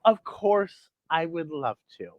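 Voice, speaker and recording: A woman hosting a children's story show, reading aloud expressively with theatrical, drawn-out phrasing and emphatic pauses. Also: low-pitched, big pitch swings, dry recording, highs cut off. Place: indoors at home.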